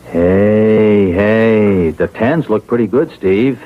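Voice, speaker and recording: A man's voice: fairly low pitch, very slow, slight background noise. An actor playing an uneasy, simple male counterfeiter with a hesitant, colloquial way of talking in a seventies radio drama. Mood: awed, uneasy. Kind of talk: 1970s radio drama acting. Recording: tinny vintage radio broadcast.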